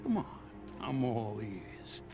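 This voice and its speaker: An actor playing an irate, threatening old mob boss with a gravelly voice in a game cutscene. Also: male voice, fairly low pitch, very animated, takes it slowly, heavily acted. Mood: exasperated anger. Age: older. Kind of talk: video game cutscene acting. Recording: music behind the voice.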